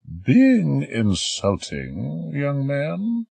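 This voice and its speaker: A man's voice: fairly high pitch, huge pitch swings, gravelly, breathy edge, no room sound, voice pushed up, full character voice. A man playing a spry, teasing old lady. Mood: wry. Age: older. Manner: brisk, sharp-tongued, amused. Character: spry, teasing elderly woman, grand old stage star.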